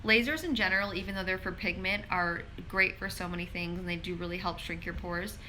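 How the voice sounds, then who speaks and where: Bright chatty woman doing a live q&a on her phone, lots of "like", bit of faint hiss. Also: lowish voice, steady tone, a little fast, rough recording. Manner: chatty, frequent "like" fillers, reads questions aloud. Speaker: pharmacist answering viewer questions. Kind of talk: casual live Q&A. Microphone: phone held in hand.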